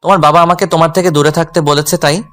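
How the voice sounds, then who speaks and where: Actor as lovelorn young male tutor, higher-pitched voice. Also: steady tone, fast talker, fairly bright tone, close to an everyday voice. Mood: resigned. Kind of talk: scripted first-person romantic story narration with dialogue.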